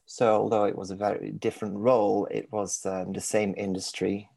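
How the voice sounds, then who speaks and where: Low-voiced Belgian man with a Manchester tinge. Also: level tone, normal speed, gravelly, darkish tone, breathy edge, no room sound. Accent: Belgian with a touch of Manchester. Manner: thoughtful, searching for words, self-deprecating humour. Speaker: guest, mindfulness coach. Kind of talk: reflective podcast interview.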